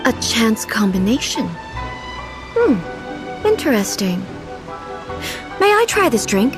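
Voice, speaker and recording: With music playing behind, an aloof, regal female sovereign from an anime game. Her fairly high voice is formal and composed, yet moves with expressive pitch. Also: takes it slowly. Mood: curious. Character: dignified female ruler, ageless, calm, regal, aloof yet curious. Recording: loud background music.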